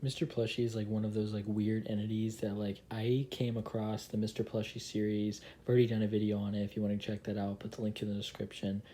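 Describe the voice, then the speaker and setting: A man's voice: lowish voice, completely flat delivery, fast talker, hardly any pauses. A young man hosting a casual countdown commentary, emphatic and repeating words for stress. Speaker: video host. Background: loud music with guitar.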